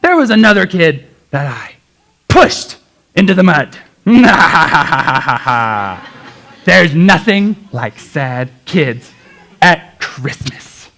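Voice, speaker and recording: A performer voicing a grumpy old tiger in a children's Christmas puppet show, gruff and gloating. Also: mid-range voice, very animated, extremely slow, a bit bright, full character voice. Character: grumpy old male tiger who loves meanness.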